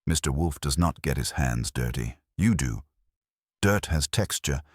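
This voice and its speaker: Smug male schemer for a mystery audiobook, read by a male narrator: pompous, precise, very deep, animated. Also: a little slow, breathy edge, dry recording, studio quality, full character voice. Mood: pleasantly menacing. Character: smug, theatrical male villain, pleasantly menacing schemer.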